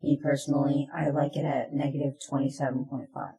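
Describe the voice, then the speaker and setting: A presenter narrating a software tutorial in plain, step-by-step instructions, recorded in an echoey room. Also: medium pitch, level tone, fairly quick, vocal fry.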